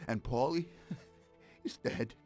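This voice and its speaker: An actor playing a wounded, dying gangster in a video game, bitter and in pain, speaking in halting, broken phrases. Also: male voice, medium pitch, darkish tone, soft background music, close to an everyday voice. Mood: bitter, resigned. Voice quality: gravelly, creaky. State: in pain, labored breathing. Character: wounded, dying gangster, bitter former friend of the man he addresses. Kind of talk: dramatic video game voice acting.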